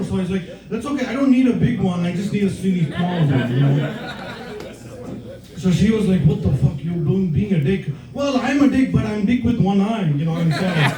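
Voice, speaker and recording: A man from southern India with a South Indian accent, telling stories in a stand-up set with hesitant pauses and many fillers. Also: high-pitched. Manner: hesitant, filler-heavy storytelling with many ums. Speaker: stand-up comedian. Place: comedy club stage. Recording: roomy club sound from far off.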